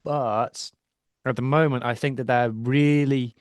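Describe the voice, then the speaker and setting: A man chatting in a self-deprecating way and breaking down rugby tactics at length. His voice sits at a middle pitch, recorded dry in a home studio with no room echo. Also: fairly even intonation, unhurried. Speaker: podcast co-host. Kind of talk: casual chat and rugby analysis.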